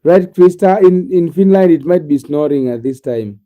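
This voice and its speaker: A man's voice: fairly high pitch, expressive, moderate pace, dark tone. A Kenyan man casually chatting with viewers on a live stream from his sitting room, sounding drowsy and repeating himself often. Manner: repetitive, drowsy, talks directly to viewers by name.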